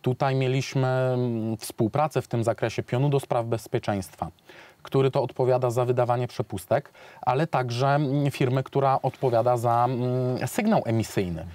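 Young man, dark mellow voice, hesitant. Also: medium pitch, fairly even intonation, moderate pace, no room sound. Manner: hesitant, many fillers and restarts. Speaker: journalist guest. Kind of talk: studio interview. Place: television studio.

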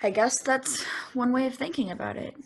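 Hesitant husky young woman, as an actress reads her. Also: high-pitched, normal speed, darkish tone. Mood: uneasy. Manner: hesitant, full of fillers. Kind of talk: video call table read. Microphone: video call.

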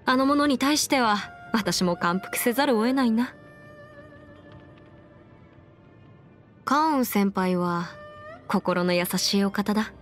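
A woman's voice: mid-range voice, lively intonation, moderate pace, close to an everyday voice. A woman voicing a confident, clever young female legal advisor in an anime game, articulate and slightly boastful, with playful pride. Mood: admiring. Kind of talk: Japanese anime game character voice lines. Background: music.

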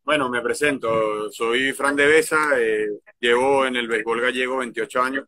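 A middle-aged man from Venezuela with a Venezuelan accent mixed with Galician Spanish, answering interview questions as a baseball manager, relaxed and hesitant, recorded outdoors on a phone. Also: medium pitch, normal speed, dry recording. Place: outdoors by a field.

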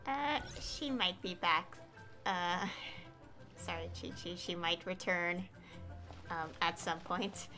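A woman's voice: fairly low pitch, slow, long pauses, bright, forceful tone. A woman doing casual live game-stream commentary, chatting and reading dialogue choices aloud. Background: game music.

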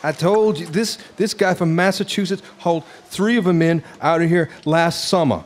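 A man's voice: a little high, normal speed, close to an everyday voice. An actor playing a defensive, blustery fisherman, stammering indignantly in a live radio drama. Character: blustery, defensive male ice fisherman, gullible buddy.

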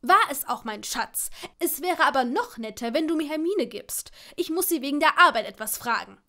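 A woman's voice: high-pitched, animated, moderate pace, pushed and bright, pitched above the performer's own voice, full character voice. Exasperated young man, voiced by a female narrator, emphatic and bickering, raised voice, protective streak. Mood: cajoling.

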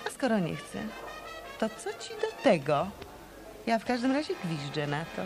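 An actress playing a self-assured, sardonic, tough nightclub singer in a hard-boiled radio play. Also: female voice, lowish voice, very animated, normal speed, a little mellow, faint music behind. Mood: sardonic, cool. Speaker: radio drama actor.

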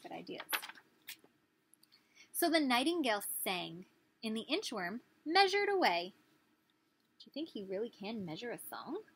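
A woman's voice: on the high side, very animated, unhurried, long pauses, fairly bright tone, husky. A woman in her thirties reading a picture book aloud as a teacher, expressively, putting questions to small children. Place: small living room, indoors.

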